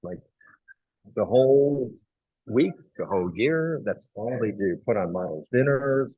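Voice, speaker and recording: Middle-aged man from Hong Kong, actor guest, husky and low, bone-dry close sound, chatty with plenty of restarts. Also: a little slow, dry recording, phone-quality sound. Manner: animated storyteller, many fillers and restarts. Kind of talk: casual interview.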